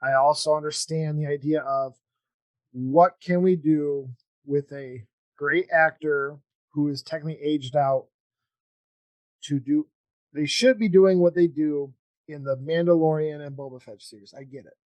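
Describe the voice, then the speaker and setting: A podcast host in a casual chat, speaking in hesitant, halting phrases. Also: medium pitch, fairly even intonation, a little mellow, no echo, low-quality recording.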